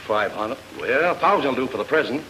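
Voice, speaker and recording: A money-minded detective's sidekick from vintage radio. He is glib and fast, with an animated, mid-range voice. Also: medium pitch. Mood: smooth salesmanship. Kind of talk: old-time radio drama acting. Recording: noisy old radio recording.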